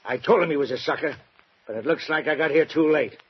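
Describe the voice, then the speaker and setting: A man's voice: a little high, quick pace, husky. An actor playing an agitated male friend of the victim, in 1940s radio drama style. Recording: muffled 1940s radio broadcast.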